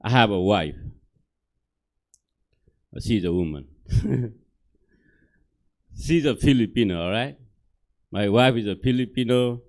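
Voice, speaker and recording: A man's voice: on the low side, expressive, slow pace, long pauses, darkish tone. Nepali-accented man doing stand-up. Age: middle-aged. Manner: simple broken English, chatty, keeps asking the crowd "right?". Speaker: stand-up comedian. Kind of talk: stand-up comedy set. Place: comedy theater stage.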